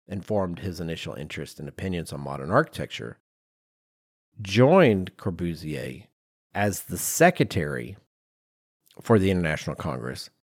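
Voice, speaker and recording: A man hosting a podcast, explaining design history in an informal, conversational, animated storytelling way with acted-out asides. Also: deep voice, dry recording, clean studio sound. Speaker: podcast host.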